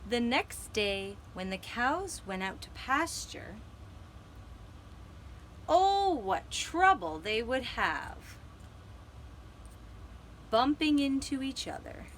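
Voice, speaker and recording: Female children's storyteller, gentle and deliberate, lilting over a wide range, with slight background noise. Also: a little high, very animated, rough recording. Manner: slow, deliberate, dramatic phrasing with pauses. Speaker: storyteller and puppeteer.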